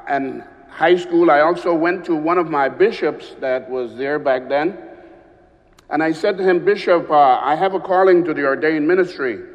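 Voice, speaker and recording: A man from Honduras, a visiting bishop giving an unhurried church address with frequent pauses. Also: a little high, big-room sound, lo-fi sound.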